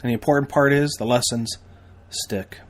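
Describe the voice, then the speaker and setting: Male, American, mid-pitched. Podcast host and instructor selling training in a folksy, emphatic monologue. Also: steady tone, normal speed. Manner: emphatic, folksy sales delivery with repetition and rhetorical questions.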